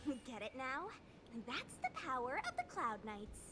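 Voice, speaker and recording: A woman's voice: sits high, huge pitch swings, unhurried, heavily acted. A woman playing a brash, cheeky young female knight-healer who gives commands in a fantasy video game. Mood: cocky battle cries. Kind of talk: animated video game voice acting. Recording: game music behind.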